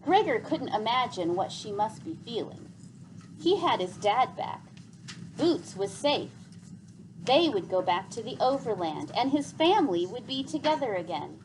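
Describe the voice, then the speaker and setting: Female storybook narrator, higher-voiced, very animated, dramatic and deliberate read-aloud delivery. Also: noisy background. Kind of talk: expressive scripted storybook narration.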